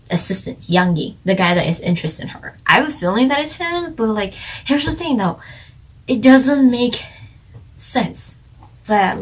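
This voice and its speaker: A woman's voice: medium pitch, breathy edge, poor audio. A woman chatting casually as she reviews a drama, rambling and speculating with lots of fillers. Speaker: drama reviewer, channel co-host.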